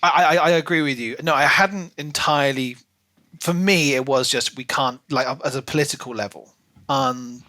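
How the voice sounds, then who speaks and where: A man's voice: medium pitch, normal speed, sharp tone. A man co-hosting a podcast, talking informally and casually as he discusses a book. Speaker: podcast co-host.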